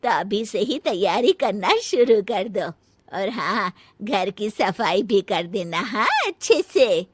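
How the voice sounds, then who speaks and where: A woman's voice: medium pitch, lively intonation, takes it slowly, husky, no echo, voice pushed down. A woman voicing a stern older mother-in-law in a cartoon story, giving orders in a commanding way. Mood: bossy. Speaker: voice actor. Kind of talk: cartoon voice acting.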